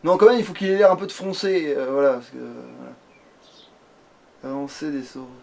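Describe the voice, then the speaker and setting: A man's voice: medium pitch, steady tone, normal speed, gravelly, dark tone, slight background noise. A man in casual gaming chat, thinking aloud in a rambling way as a let's player.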